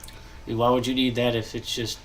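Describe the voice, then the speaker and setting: A young man with a stuffy, nasal voice, chatting casually and thinking aloud while he builds in a game, pausing often to cough or clear his throat. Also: medium pitch, completely flat delivery, a little hiss or hum, poor audio. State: congested, nasal. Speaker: gaming channel host.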